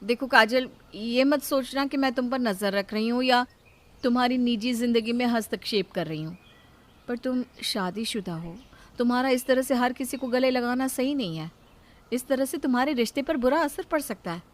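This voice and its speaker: A woman's voice: on the high side, moderate pace, close to an everyday voice. A woman voicing a caring, self-sacrificing elder sister-in-law in a story, pleading and conciliatory. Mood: careful advice.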